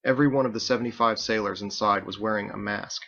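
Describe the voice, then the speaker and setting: A man with a low, crisp-edged voice narrates an audiobook from a script, as the author. The recording is slightly dull and lo-fi. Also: steady tone, fast, pushed and bright. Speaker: author reading own book.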